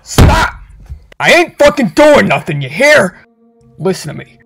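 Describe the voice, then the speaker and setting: A man voicing a grumpy, gruff male cat demon who talks in a curt, weary and profane way. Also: high-pitched, sing-song, measured pace, background noise, full character voice. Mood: snapping. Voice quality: gravelly, husky. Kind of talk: fan comic dub.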